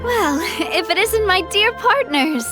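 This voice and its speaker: An upbeat young woman leader, earnest and friendly. Her voice is high and lively, moving expressively through each line. It is video game voice acting over background music. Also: slow, full character voice. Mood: cheerful.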